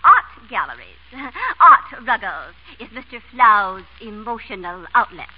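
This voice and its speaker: An actress playing a fussy, bossy, affectedly refined American small-town wife in a radio comedy. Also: female voice, fairly high pitch, very animated, takes it slowly. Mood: bossy, prim. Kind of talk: 1930s-40s radio comedy acting. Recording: dull, noisy vintage radio broadcast.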